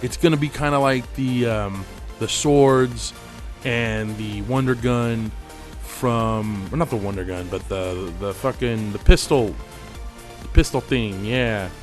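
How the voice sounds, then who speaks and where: A man's voice: mid-range voice, takes it slowly, soft background music. A man hosting his gaming channel, chatting casually over gameplay. He is tired and losing his voice, and he rambles in a laid-back way and swears freely.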